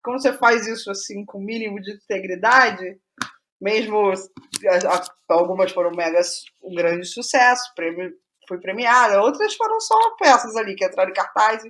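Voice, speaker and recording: A woman's voice: medium pitch, animated, normal speed, a bit bright, a little hiss or hum. A Brazilian woman being interviewed as a playwright, talking casually and reflectively, thinking aloud and wandering into digressions.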